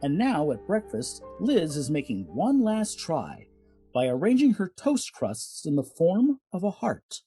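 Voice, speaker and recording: A man narrating as the announcer of an old-time radio comedy, with a husky voice. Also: fairly high pitch, huge pitch swings, unhurried, warm tone, dry recording, soft background music.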